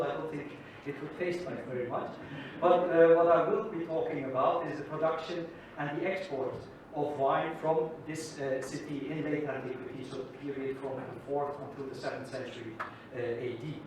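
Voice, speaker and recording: A Belgian archaeologist gives a friendly talk, often pausing with hesitant fillers. His voice sits a little high for a man. He is picked up from a distance in a strongly echoing hall with faint noise behind him. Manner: friendly, with frequent "uh" pauses. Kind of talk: lecture presentation. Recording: distant mic in an echoey hall.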